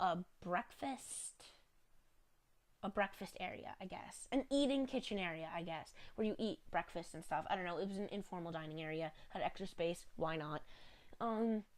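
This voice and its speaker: Chatty congested woman, lowish voice. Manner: rambling, chatty and apologetic with many fillers. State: a little sick and congested. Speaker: game building video creator. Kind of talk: casual voice-over narrating a game build.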